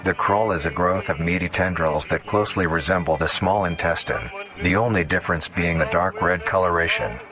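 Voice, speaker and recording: A man reading scripted mock-documentary horror narration in a formal, measured, explanatory way. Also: deep voice, music in the background.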